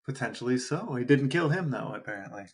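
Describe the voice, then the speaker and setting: A man co-hosting a podcast, chatting animatedly with frequent restarts and excited jumps in pitch. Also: fast talker. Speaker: podcast co-host. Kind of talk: casual chat.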